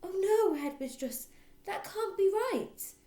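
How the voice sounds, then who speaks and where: A woman voicing a gentle, nervous but determined teacher in a storybook, polite and pleading. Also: very high-pitched, expressive, slow, pitched above the performer's own voice, exaggerated voice. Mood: earnest protest. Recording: roomy echo.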